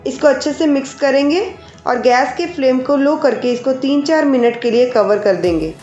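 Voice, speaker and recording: A woman hosting a cooking channel, calmly explaining each step of a recipe to her viewers. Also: high voice, normal speed, roomy sound, highs cut off, soft background music. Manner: calm, explanatory, addresses viewers directly. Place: home kitchen.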